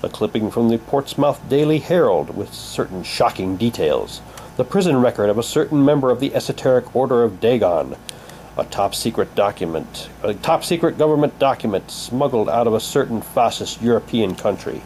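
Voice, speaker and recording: A man giving a halting, unhurried, casual commentary as he shows and reads out items for an unboxing video. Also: mid-range voice. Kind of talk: casual unboxing commentary, reading packaging aloud. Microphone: handheld camera's built-in mic. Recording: noisy home camcorder, steady hum.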